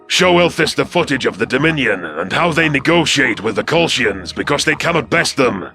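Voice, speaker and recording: A man voicing an earnest male alien predator warrior in a sci-fi audio story, gravelly, intense and halting. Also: mid-range voice, big pitch swings, pushed and bright, faint music behind, voice pushed up, exaggerated voice. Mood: eager.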